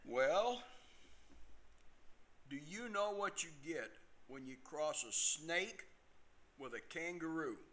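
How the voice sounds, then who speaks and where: An older man playing a corny, genial joke-teller in an amateur staged reading, pausing deliberately before his punchlines. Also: a little high, expressive, bright, forceful tone, heavily acted. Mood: jokey, eager.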